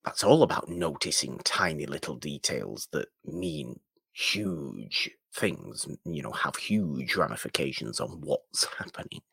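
A man co-hosting a film podcast, chatting casually in a halting, rambling way with emphatic repetition. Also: extremely low pitch, very animated, gravelly, a bit bright, breathy edge, no room sound, studio quality.

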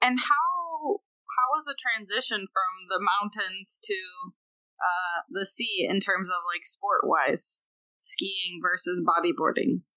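Woman host, bright crisp voice, dry close sound, relaxed encouraging questions. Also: mid-range voice, slow, no room sound, poor audio. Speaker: podcast host, interviewer. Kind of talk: casual interview questions.

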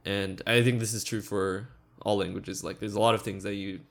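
A young man with a deep, gravelly edge co-hosts a podcast, rambling easily through his thoughts. He leans on fillers and small laughs as he talks. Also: level tone, brisk. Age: young adult. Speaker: podcast co-host. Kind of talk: casual chat.

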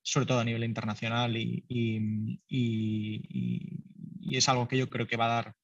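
A man's voice: mid-range voice, level tone, normal speed, dry recording. Brief: male founder, casual and filler-heavy, dry muffled recording. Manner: loose and conversational, many fillers, laughs lightly. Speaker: startup founder. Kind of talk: business interview answers.

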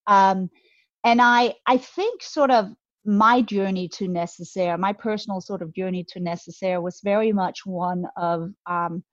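A woman's voice: mid-range voice, measured pace, no room sound. A slightly muffled, dry recording carries a woman with a Danish accent. She talks in a conversational interview style as a guest, enthusiastic yet thoughtful, often repeating words as she shapes her point. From Denmark. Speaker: guest, beauty brand co-founder.

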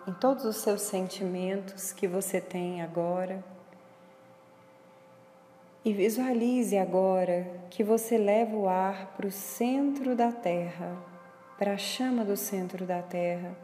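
A Brazilian woman guides a meditation in a soft-edged, mellow voice. She speaks slowly and instructionally, leaving space between phrases. Her voice echoes with a long reverb tail. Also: medium pitch. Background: music.

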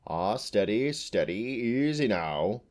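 A man voicing a fat, clumsy countryman from a storybook, breathy and coaxing, drawing out his words. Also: medium pitch, big pitch swings, measured pace, warm tone, voice pushed up. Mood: awed coaxing.

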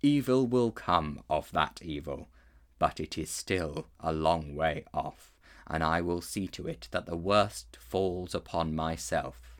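A man voicing a great lion who speaks slowly, low and deep, with a hint of a growl. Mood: grave. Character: vast solemn male lion, grave and commanding.

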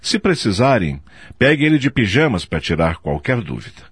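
An actor playing a self-assured, commanding company director in a radio soap opera, speaking formally as if giving a speech. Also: male voice, on the low side, big pitch swings, fairly quick, husky, dry recording, heavily acted. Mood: praising.

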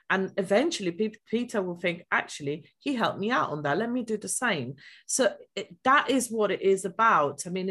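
A woman with an Italian accent giving social media advice on a podcast, chatty and animated, with many fillers. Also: medium pitch. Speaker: social media marketing guest.

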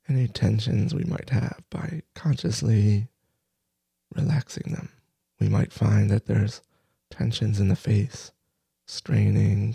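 A man leading a guided meditation, soft-spoken, in short halting phrases with long pauses. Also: fairly low pitch, creaky, breathy edge, dry recording. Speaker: meditation teacher.

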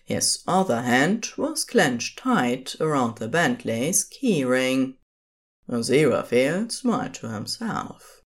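A woman's voice: extremely low pitch, very animated, slow pace. A woman reading scripted story narration as the narrator of an audio drama.

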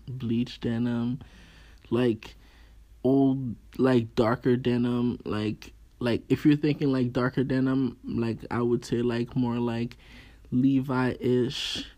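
A man chatting casually and informally as a guest, using relaxed slang as he gives his opinions. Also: medium pitch, slow. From Haitian background. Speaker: podcast guest. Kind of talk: casual chat about fashion. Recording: clear background room noise.

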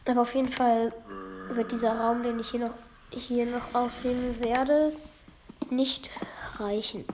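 A woman feeling under the weather, talking through a game in a halting, apologetic way and often losing her words. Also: fairly high pitch, steady tone, a little mellow, a little hiss or hum. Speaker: let's play gamer. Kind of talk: casual gameplay commentary.

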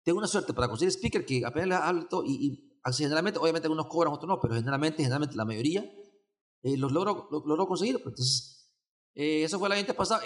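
Un hombre de Honduras con acento hondureño, invitado de una entrevista, que habla de forma suelta con muchas repeticiones y muletillas. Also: male voice, medium pitch. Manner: rambling, many restarts and fillers. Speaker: event organizer, guest. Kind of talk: casual interview chat.

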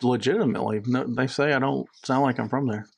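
A man's voice: mid-range voice, steady tone, quick pace, gravelly, breathy edge. A man from South Georgia co-hosting a podcast, with a mixed Southern accent, telling a rambling story in a playful, teasing way. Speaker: podcast co-host. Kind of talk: casual chat.

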